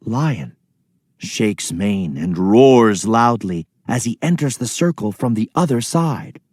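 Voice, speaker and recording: A man's voice: lowish voice, lively intonation, takes it slowly, darkish tone, no room sound, clean studio sound. A man narrating a scripted children's play, reading out stage directions and story.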